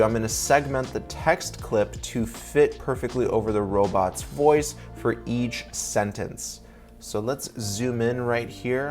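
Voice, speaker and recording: Upbeat man walking through editing software. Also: mid-range voice. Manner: upbeat, step-by-step explaining. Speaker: video editor and tutorial host. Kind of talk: sponsored software tutorial walkthrough.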